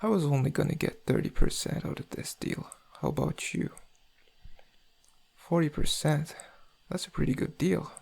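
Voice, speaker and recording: Smug, seductive male criminal played by a man for ASMR roleplay; husky, slightly higher-set voice, intimate drawl with menace underneath. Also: huge pitch swings, normal speed, darkish tone, exaggerated voice. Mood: teasing, fond. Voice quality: gravelly, husky. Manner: intimate, teasing, drawling, often dropping to breathy murmurs. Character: suave, menacing male thief, flirtatious and smug.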